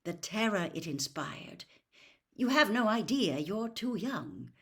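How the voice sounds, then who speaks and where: A woman's voice: medium pitch, big pitch swings, takes it slowly, fairly bright tone, voice pushed up, heavily acted. Grave middle-aged dad for a storybook, read by a woman, weary, explanatory, varied intonation. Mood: somber. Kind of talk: acted storybook reading.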